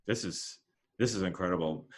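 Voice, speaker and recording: A man's voice: low-pitched, moderate pace. A man hosting a podcast, chatting casually in a conversational, rambling way with many restarts. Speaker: podcast host.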